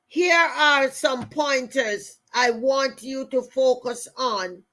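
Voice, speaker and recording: Slow, higher-voiced Jamaican motivational speaker, a woman. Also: a bit bright. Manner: emphatic, repeats phrases for effect, addresses listeners directly. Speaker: motivational speaker and show host. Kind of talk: motivational talk with personal storytelling.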